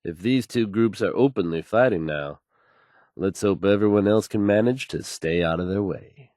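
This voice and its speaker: A man's voice: lowish voice, normal speed, darkish tone, dry recording, clean studio sound, lightly acted. A man playing an assured, folksy radio DJ in a video game, delivering news bulletins to listeners with fluent, polished ease. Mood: measured, serious.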